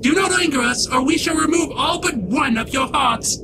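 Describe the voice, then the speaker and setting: A man's voice: extremely high pitch, moderate pace, very noisy. A man playing a haughty alien scientist in a comic dub, speaking in a formal, stilted and superior manner. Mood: haughty, cold.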